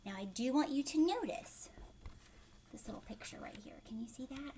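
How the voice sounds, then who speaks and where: A woman's voice: sits high, animated, normal speed, gravelly, warm tone, slight background noise. A woman teacher reading a picture book aloud to young children, expressively, with questions and asides to her listeners.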